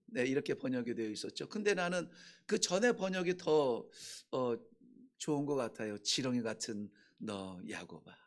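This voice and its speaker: A man preaching a Sunday sermon as pastor, impassioned, swinging between shouted peaks and hushed lines. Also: a little high, gravelly.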